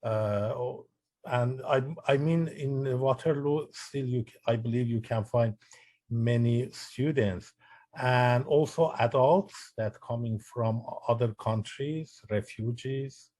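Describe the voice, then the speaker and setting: A man hosting a community meeting, asking questions with halting, filler-heavy speech. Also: medium pitch, darkish tone, no echo. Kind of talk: Q&A moderation.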